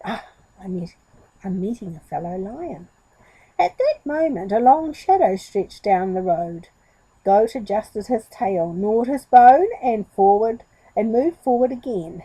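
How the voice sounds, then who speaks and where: Unhurried woman reading a children's story. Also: mid-range voice, mellow, husky, no room sound, poor audio. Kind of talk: expressive children's story narration.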